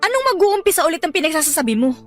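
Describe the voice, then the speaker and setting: A woman's voice: extremely high pitch, very animated, rapid-fire, soft background music. An actress playing a hurt, indignant young woman with a Filipino accent, sarcastic and accusing in an emotional radio love drama. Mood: angry, accusing.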